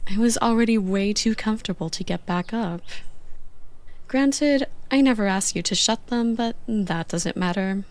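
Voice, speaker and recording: A woman playing a playful, bossy young girlfriend on a slow morning in an intimate, conversational ASMR roleplay. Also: mid-range voice, a little mellow, lightly acted. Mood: groggy, mildly grumbling.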